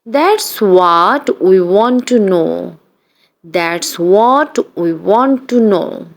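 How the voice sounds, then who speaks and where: A woman reads simple practice sentences for learners, pausing and spacing every word with great care. Her pace is exceptionally slow and her pitch sits in an ordinary middle range. The delivery stays even and deliberate throughout, like a drill. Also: vocal fry. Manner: deliberate, evenly spaced, each sentence read twice. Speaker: English practice reader.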